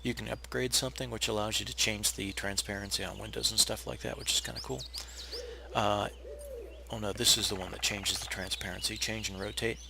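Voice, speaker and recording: A hoarse, raspy man who is feeling unwell, casually talking viewers through a game build step by step with plenty of pauses and restarts. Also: lowish voice, noisy background. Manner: relaxed, explanatory, with frequent uh fillers and restarts. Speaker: game tutorial narrator. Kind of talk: casual step-by-step gameplay tutorial.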